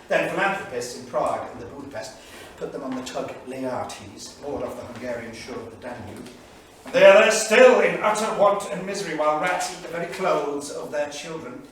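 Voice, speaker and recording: A male actor plays an earnest 1930s diarist on stage, with a fairly high, very animated voice. His delivery is emphatic and deliberate. It sounds distant in a very reverberant room. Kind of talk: theatrical reading of a journal. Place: small theatre studio. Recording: very echoey theatre, distant mic, faint noise.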